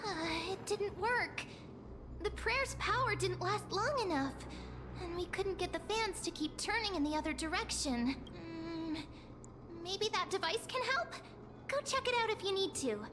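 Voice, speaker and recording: A woman's voice: extremely high pitch, lively intonation, measured pace, noisy background. A woman voicing a small, eager, reassuring girl companion in a video game, with an encouraging manner. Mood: puzzled. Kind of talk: scripted video game voice acting. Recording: clear game music behind.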